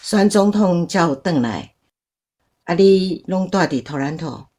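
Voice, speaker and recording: Casting: reserved grandmother, Taiwanese accent, radio drama, husky voice with varied intonation. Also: fairly low pitch, expressive, measured pace, a little mellow. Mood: reserved, polite. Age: older. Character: Taiwanese grandmother reserved before her old flame.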